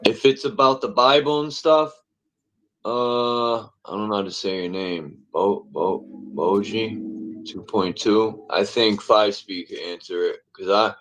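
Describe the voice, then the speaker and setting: Male stream host, low pitch, laid-back rambling chat. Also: fairly even intonation, a bit bright, rough recording. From Connecticut, USA. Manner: loose and rambling, with halting restarts. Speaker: livestream panel host. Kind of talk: casual livestream chat.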